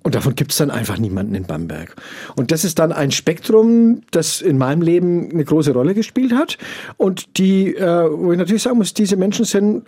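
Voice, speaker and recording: A middle-aged man with a Franconian accent, answering interview questions in a measured way, often pausing with fillers as he searches for words. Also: a little high, lively intonation, warm tone, breathy edge. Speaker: interview guest.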